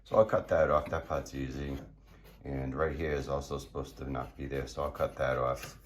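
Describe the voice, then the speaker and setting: Very deep-voiced hoarse man in workshop. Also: fairly even intonation, a little hiss or hum. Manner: halting, explanatory, many fillers and restarts. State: hoarse, losing his voice. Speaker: car builder showing his project. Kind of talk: casual workshop walkthrough. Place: garage workshop.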